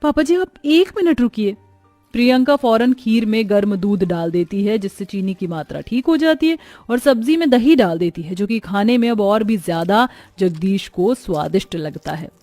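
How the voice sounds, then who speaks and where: A woman's voice: medium pitch, animated, moderate pace, few pauses, dark tone, dry recording, soft background music. A woman giving scripted narration of a family story.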